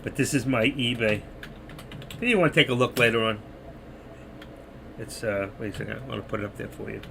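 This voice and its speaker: Hoarse gravelly man chatting on stream. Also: mid-range voice, brisk, noisy background. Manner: rambling, chatty, talking to chat viewers. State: hoarse, losing his voice. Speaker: trading live stream moderator. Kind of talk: casual live stream chat.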